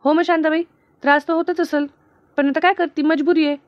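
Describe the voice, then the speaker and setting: Woman voicing a high-voiced village friend, dull recording. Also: fairly even intonation, fairly quick, natural voice. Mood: advising. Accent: rural Maharashtrian. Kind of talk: animated cartoon comedy dialogue.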